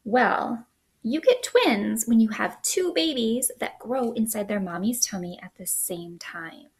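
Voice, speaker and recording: Female children's librarian, thirties, slightly high voice, unhurried expressive picture-book read-aloud. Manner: sing-song, expressive, asks children questions. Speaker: children's librarian, storytime host. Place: small living room indoors.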